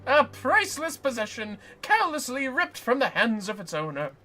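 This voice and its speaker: A woman voicing a self-important gentleman inspector from a video game, declaiming in grand, florid, theatrical exclamations. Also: high voice, big pitch swings, normal speed, soft background music, voice pushed up, heavily acted. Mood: heroic fervour.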